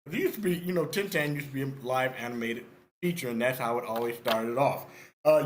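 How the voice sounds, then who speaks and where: A man's voice: on the high side, a bit bright, slight background noise. A man in his sixties giving casual, opinionated and direct spoken feedback as a script reviewer. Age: 60s.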